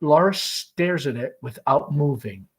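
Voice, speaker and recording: A man's voice: a little high, expressive, measured pace, fairly bright tone, husky. A man reading a play aloud, narrating its stage directions as a school principal in a read-along.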